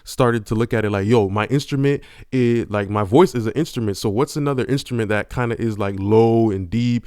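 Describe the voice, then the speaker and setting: A man's voice: on the low side, brisk, mellow, clean tone, no room sound. A man hosting a casual music-production tutorial, talking in a laid-back, slangy way full of fillers, into a large-diaphragm studio condenser mic in a home studio. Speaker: YouTube host teaching vocal mixing.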